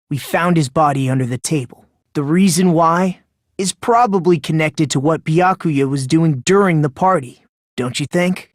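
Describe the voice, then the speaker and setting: Young American man, video game character, polite, soft-spoken, unhinged, obsessed with hope, very dry close recording. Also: low voice, dry recording. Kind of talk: English video game voice acting.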